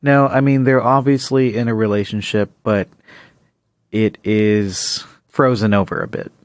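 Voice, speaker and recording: A man's voice: lowish voice, fairly even intonation, measured pace, no room sound. A man co-hosting a podcast, bantering and commenting in casual conversation. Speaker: podcast co-host.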